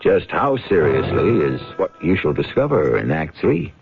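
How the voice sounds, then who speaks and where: An American man hosting and narrating a scripted 1970s radio mystery in a measured, theatrical, rhetorical manner. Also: rumbling low, big pitch swings, creaky. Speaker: host and narrator. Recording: slightly muffled radio broadcast. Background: music.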